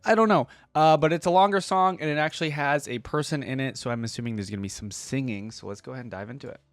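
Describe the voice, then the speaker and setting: A man's voice: mid-range voice, quick pace, no room sound. A man hosting a reaction video, commenting casually and thinking aloud as he watches.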